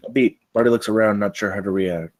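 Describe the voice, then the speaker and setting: A man reads screenplay directions at a table read. He moves along quickly in a fairly low voice, as a scripted narrator. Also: steady tone. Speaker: narrator reading stage directions.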